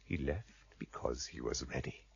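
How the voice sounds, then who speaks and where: An actor playing a shrewd, courtly blind trader in a radio drama, husky and gravelly, speaking in a measured, formal, wry way. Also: male voice, rumbling low, no room sound, highs cut off, full character voice. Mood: grave, knowing.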